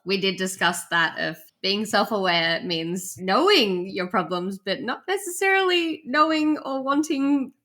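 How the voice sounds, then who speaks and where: A woman's voice: medium pitch, lively intonation, a little slow, few pauses, pushed and bright. A woman co-hosting a podcast, chatting in a wry, giggly way. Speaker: podcast co-host. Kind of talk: casual podcast chat.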